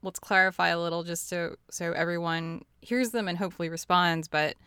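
A woman's voice: fairly low pitch, fairly even intonation, a little fast, bright, forceful tone, clean tone, no room sound, studio quality. A woman hosting a casual interview chat, prompting her guests in a friendly way. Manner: friendly prompting, laughs often. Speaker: podcast host.

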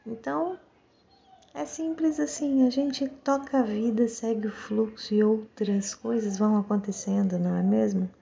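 A woman's voice: mid-range voice, creaky, mellow, slightly rough, highs cut off. A woman with a Brazilian Portuguese accent giving a casual, reflective monologue, musing and often checking for agreement with little tag questions.

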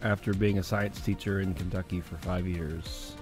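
Lowish voiced guy cohosting, dry teasing jokes, faint music under it. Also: steady tone, moderate pace, darkish tone. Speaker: co-host, brother of the host. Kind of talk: casual livestream chat.

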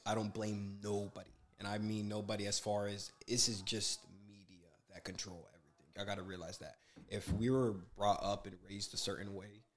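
A man in his twenties, a guest on a casual podcast, talking in a laid-back, slangy way and growing emphatic when he makes a point. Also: fairly low pitch. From USA. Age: 20s.

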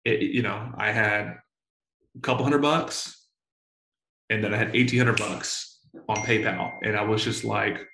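A man in his forties telling stories in a casual interview, full of slang and swearing, excitable and often repeating himself. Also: on the low side, creaky, echoey room, slight background noise, low-quality recording. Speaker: entrepreneur guest.

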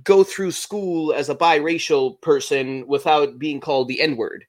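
A man from Germany, a YouTuber guest, talking casually in a remote interview, halting with many restarts and fillers. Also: a little high, fairly even intonation.

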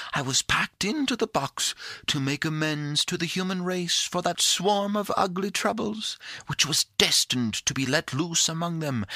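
Man voicing a high-pitched, coaxing little fairy. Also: creaky, sharp tone, no echo, heavily acted. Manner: coaxing, sing-song, cheery. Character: tiny winged fairy creature, female, sweet, coaxing, cheerful. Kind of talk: storybook character voice.

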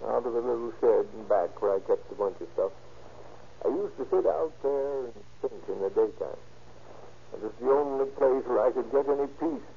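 An actor playing a calm, remorseless little man confessing to murder in a matter-of-fact, folksy way in a 1930s radio drama. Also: medium pitch, moderate pace, vocal fry, natural voice. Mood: calm, dispassionate. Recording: muffled old radio recording, faint noise.

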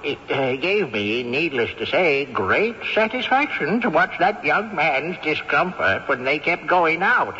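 A cantankerous old ghost who chuckles through his lines with theatrical, old-fashioned diction. He speaks slowly with a bright, ringing tone and a lot of swing in his pitch. The dull radio recording carries a long reverb. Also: very animated, full character voice. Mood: gleeful. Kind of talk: 1970s radio drama acting.

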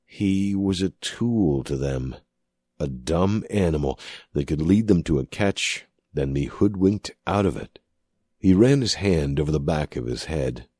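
A male narrator reads dramatic fiction in an extremely deep voice with a husky grain. His phrasing is measured and deliberate, and the sound is dry and close. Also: lively intonation, dark tone, dry recording. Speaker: audiobook narrator.

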